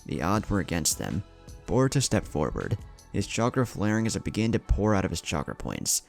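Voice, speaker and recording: Deep, gravelly male story narrator. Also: sing-song, a little fast, faint music behind. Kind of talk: scripted narration of fan fiction.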